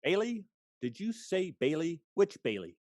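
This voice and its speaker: A man's voice: a little high, sing-song, moderate pace, a little mellow, no room sound, studio quality. A man playing an angry, bullying husband in an old-time radio play. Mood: belligerent.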